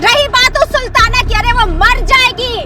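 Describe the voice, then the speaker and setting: An actress playing a defiant, mocking brothel madam with a sarcastic manner in a TV crime drama. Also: female voice, very high-pitched, moderate pace, recorded from a distance, over a noisy crowd. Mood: indignant. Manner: brazen, sarcastic. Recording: off-mic with clear background music.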